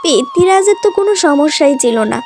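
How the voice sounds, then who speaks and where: A woman's voice: sits high, lively intonation, a little fast, over music. A woman narrating a scripted moral tale for a cartoon, as the storyteller.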